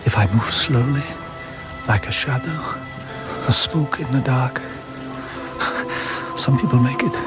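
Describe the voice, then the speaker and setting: A male actor plays a desperate would-be escaper in a radio drama, under loud music. He speaks very slowly in short, hushed phrases at a middling pitch, intent on crossing a guarded border. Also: lightly acted. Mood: tense, hushed. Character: desperate man planning to flee across a guarded border. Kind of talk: radio drama inner monologue.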